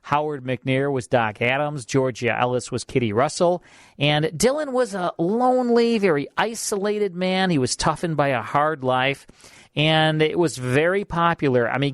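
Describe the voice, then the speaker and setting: A man's voice: a little high, dry recording, clean studio sound. A man with an American accent hosting a radio show in a casual, chatty and emphatic way. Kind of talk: casual radio banter and introductions. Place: radio studio.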